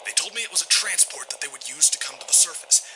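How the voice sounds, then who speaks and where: A man playing an urgent, secretive captain calling a radio show, conspiratorial and breathy, in a radio drama. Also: on the high side, animated, noisy background, lightly acted. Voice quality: whispery, breathy. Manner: hurried, conspiratorial.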